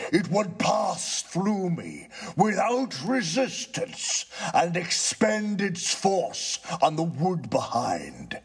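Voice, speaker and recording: A gloomy storybook ghost. The words are stretched out very slowly and solemnly, pitched high and swooping widely. The spirit sounds pompous and long-suffering. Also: male voice, husky, full character voice. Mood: stern, solemn. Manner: slow, solemn, drawn-out declaiming. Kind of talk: theatrical storybook character reading.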